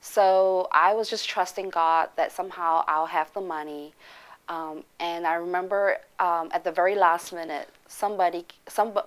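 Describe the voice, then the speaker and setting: A woman with a voice pitched a little low for a woman. She tells her personal faith story as a church member, earnest and reflective, pausing often to gather her thoughts. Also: fairly even intonation. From Singapore. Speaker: church member giving testimony.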